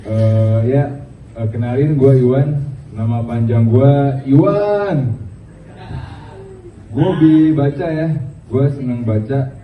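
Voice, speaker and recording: A man, doing halting, filler-heavy stand-up at an open mic and slipping in English phrases. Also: mid-range voice. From Indonesia. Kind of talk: stand-up comedy. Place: small open mic venue, indoors. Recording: roomy, distant, tinny phone-like audio.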